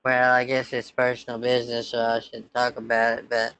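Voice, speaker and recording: Man, twenties, mid pitch, smooth clear voice, rambling, hesitant, easygoing phone chat. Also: completely flat delivery, brisk, fairly bright tone. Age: 20s. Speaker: scambaiter posing as a son.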